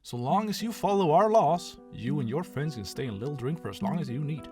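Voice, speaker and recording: A man's voice: a little high, expressive, fairly quick, darkish tone, soft background music, lightly acted. A man playing a formal, welcoming mayor of a wasteland settlement in an audio drama. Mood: official welcome. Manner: formal, official. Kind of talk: post-apocalyptic audio drama acting.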